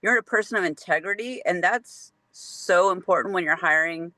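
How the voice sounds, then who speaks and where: A man's voice: high voice, normal speed, pushed and bright, dry recording, low-quality recording. A man speaks up in support and encouragement during the group talk.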